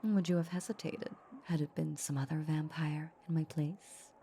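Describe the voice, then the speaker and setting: A woman playing a possessive, seductive vampire in a roleplay audio drama, teasing her captive with menacing sweetness in a breathy near-whisper. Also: low voice, steady tone, moderate pace, mellow, a little hiss or hum. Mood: amused, possessive.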